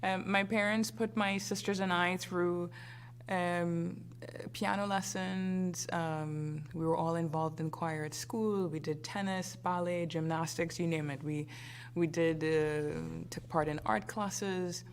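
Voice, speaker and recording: A woman from Trinidad and Tobago, an opera singer answering interview questions thoughtfully, with many pauses and fillers. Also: fairly low pitch, fairly even intonation, slight background noise.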